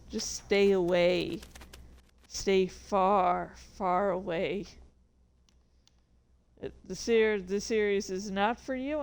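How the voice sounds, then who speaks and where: Slow-paced woman streamer, chatty and rambling. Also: mid-range voice, clear, resonant tone. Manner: rambling, self-interrupting, playfully excited. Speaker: streamer and author. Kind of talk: casual livestream chat.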